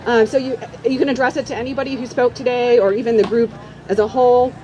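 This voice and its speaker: Female academic host, slightly high register, informal public speaking with pauses and repeats, recorded outdoors with light background noise. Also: steady tone, warm tone, lo-fi sound. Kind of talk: informal spoken address to a live audience. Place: outdoors at a campground. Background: faint outdoor ambient noise.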